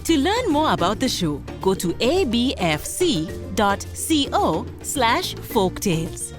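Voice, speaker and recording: Woman announcer, upbeat credits, lively rising and falling pitch, music bed clearly under. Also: slow pace. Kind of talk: show credits read-out.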